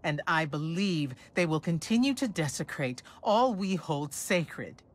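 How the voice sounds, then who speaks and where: A woman playing a grave, disillusioned warrior scholar in a game cinematic, low-voiced, solemn and measured. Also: expressive. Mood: bitter.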